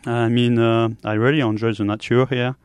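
A young man from Switzerland, answering casually in halting English. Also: mid-range voice, flat delivery, smooth, dry recording. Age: young adult. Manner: halting non-native English. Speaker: student athlete, interview guest.

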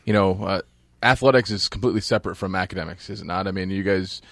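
A man's voice: low-pitched, quick pace, gravelly, fairly bright tone, no room sound, studio quality. A man hosting a casual sports interview, asking questions in a rambling, hesitant way. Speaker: host interviewer.

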